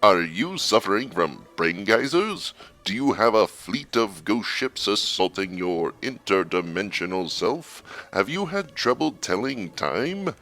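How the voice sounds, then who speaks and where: A man playing a mock-sincere pitchman in a parody radio promo, using a salesman's rhetorical patter. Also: big pitch swings, measured pace, few pauses, sharp tone, soft background music, exaggerated voice. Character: mock-sincere male pitchman who casts curses on listeners. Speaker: sponsor message announcer.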